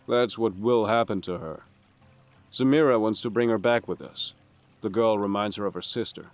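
A brooding assassin, played by a man, speaks in a lowish voice. He is sober and weary, grave in manner, in an audio drama with faint music behind him. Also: moderate pace, no room sound, natural voice. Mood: earnest.

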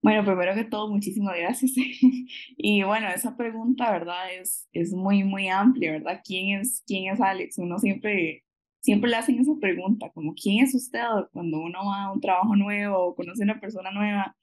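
A woman's voice: medium pitch, fairly even intonation, moderate pace, darkish tone, rough recording. A woman in her twenties from Costa Rica with a Costa Rican accent, answering interview questions over a video call in a hesitant, laughing way. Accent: Costa Rican Spanish. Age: 20s. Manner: hesitant, many fillers, laughs often. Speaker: guest. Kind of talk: conversational interview.

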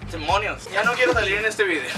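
A man's voice: sits high, expressive, fast. A young man in casual, joking banter with friends. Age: young adult. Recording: distant mic, faint music.

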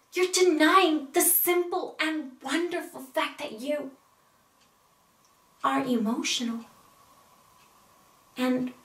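Woman acting a hurt, insistent lover, dramatic stage monologue, high voice, halting pauses, distant mic. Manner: intense, emphatic, halting pauses. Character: wounded, insistent young woman confronting her beloved. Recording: echoey room, distant mic.

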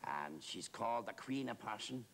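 A man's voice: on the low side, animated, normal speed, creaky, fairly bright tone, slight background noise, pitched above the performer's own voice. A man playing a naive, talkative working-class warder who rambles deferentially in a theatrical monologue. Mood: scandalised, eager. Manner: rambling, hesitant, repetitive, with deferential address.

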